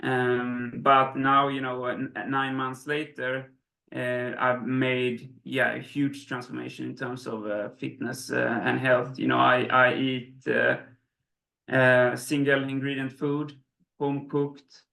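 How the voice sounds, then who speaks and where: A man's voice: medium pitch, little pitch movement, slow, gravelly, pushed and bright. A man from Sweden talking as an interview guest, hesitant, with many restarts and fillers. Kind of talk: casual interview. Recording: roomy echo.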